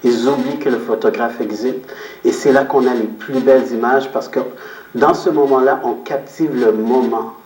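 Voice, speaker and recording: A man answering interview questions as a guest, explaining his outlook in a reflective, measured way and repeating phrases for emphasis. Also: medium pitch, steady tone, darkish tone. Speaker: interview guest, photographer and business owner. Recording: roomy echo, distant microphone.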